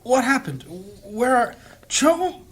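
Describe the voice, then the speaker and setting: A man's voice: extremely high pitch, very animated, slow, heavily acted. A man playing an anxious, devout priest and healer in a fantasy audio drama, with an earnest manner. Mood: bewildered, anxious.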